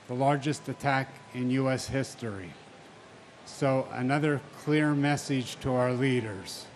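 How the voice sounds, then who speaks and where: A man's voice: medium pitch, a little hiss or hum. A man reads names at a memorial ceremony and speaks solemnly and firmly as a victim's brother. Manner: solemn and measured, then firm and insistent. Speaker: victim's family member reading names. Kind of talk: memorial ceremony name reading and speech.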